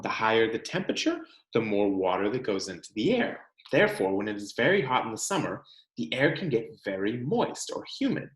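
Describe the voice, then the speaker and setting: Animated American man reading aloud, echoey room. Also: on the low side, fairly quick. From California, United States. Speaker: read-aloud host, teacher reading to children. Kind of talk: children's picture book read-aloud with casual asides.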